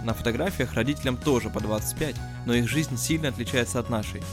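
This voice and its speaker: A man's voice: mid-range voice, fast talker, over music. A man in his mid-twenties from Russia co-hosting a podcast, chatting casually in a reflective, colloquial way. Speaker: podcast co-host. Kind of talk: casual chat.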